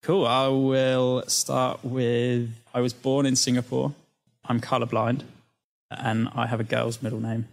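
A man's voice: medium pitch, level tone, a little slow, creaky, soft background music. A young man from England chatting as a podcast guest, hesitant, with many fillers and restarts, in a studio. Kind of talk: casual chat. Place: recording studio.

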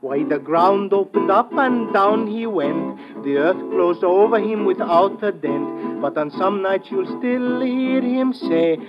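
A man's voice: sits high, animated, slow, hardly any pauses, highs cut off, loud music behind the voice. An actor playing a superstitious, easygoing island boatman in an old-time radio drama, speaking singsong simple English. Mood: eerie, chanting. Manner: singsong simple English, calls himself by name. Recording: noisy old radio recording.

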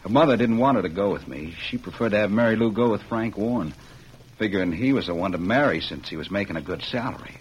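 An actor playing a wronged young cowboy ex-convict in a vintage radio western, speaking plainly and earnestly. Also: male voice, on the low side, lively intonation, fast talker, lightly acted. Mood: bitter, earnest. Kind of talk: 1940s-50s radio western acting. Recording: muffled, hissy old radio recording.

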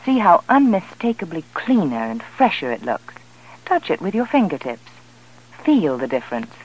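A woman's voice: fairly low pitch, very animated, moderate pace, dull audio, a little hiss or hum. A woman delivering a 1940s radio commercial with emphatic, persuasive, carefully articulated speech. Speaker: advertising spokeswoman.

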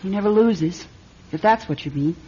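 Tough young hostess, muffled radio sound. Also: female voice, medium pitch, moderate pace, dark tone, slightly rough. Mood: frank. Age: young adult. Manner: blunt, slangy. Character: tough, streetwise young nightclub hostess, guarded but wounded. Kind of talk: old-time radio detective drama acting. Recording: dull radio recording, music behind.

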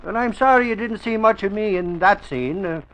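Excitable high-voiced Irish braggart. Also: male voice, normal speed, poor audio. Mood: defensive. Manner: blustering, defensive. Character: boastful, excitable Irish man, comic would-be actor. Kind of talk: old-time radio drama acting.

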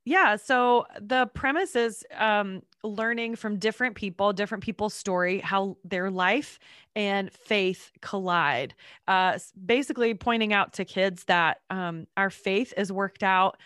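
A woman in her thirties from North Carolina, a guest on a faith podcast, chatting casually while congested, her voice deeper than usual. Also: unhurried, a bit bright, clear, resonant tone, no echo, studio quality. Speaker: podcast guest, children's ministry worker. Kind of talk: casual interview chat.

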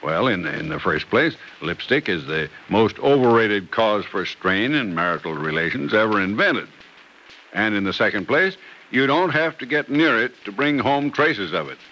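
An American actor playing a fatherly, wry old man in an old-time radio soap, speaking in a folksy, hesitant, gently teasing way. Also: on the low side, lively intonation, normal speed. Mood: wry, reassuring. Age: older. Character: fatherly, wry old man advising a worried young wife. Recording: noisy old radio transcription recording.

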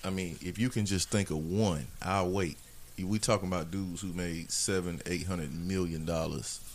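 A very deep-voiced man in his forties speaks as an entrepreneur guest. He explains things in a steady, measured way. A faint hiss runs underneath. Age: 40s. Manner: measured, advice-giving. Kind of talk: casual chat.

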